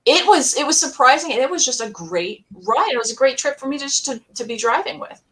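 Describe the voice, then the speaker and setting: A woman with a fairly high voice talks casually as a fan on a podcast panel. She is emphatic and gushing, often slipping into little acted-out bits of remembered conversation. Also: animated, brisk, a bit bright, rough recording. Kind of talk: casual fan chat with animated anecdotes.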